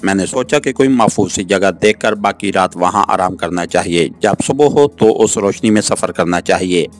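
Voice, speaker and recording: Guy with a lowish voice reading a story audiobook style, bit of music behind him. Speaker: audiobook narrator. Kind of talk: scripted first-person novel narration. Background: background music.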